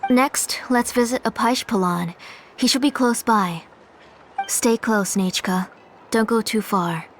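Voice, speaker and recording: A woman voicing a gentle, caring mother of a young girl in a video game. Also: a little high, unhurried, a little hiss or hum, natural voice.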